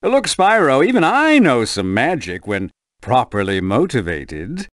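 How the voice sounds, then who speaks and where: A man's voice: medium pitch, wildly swooping pitch, measured pace, no echo, studio quality. A man voicing a greedy, sly merchant creature in a video game, drawling with smug theatricality. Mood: self-satisfied. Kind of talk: video game character dialogue.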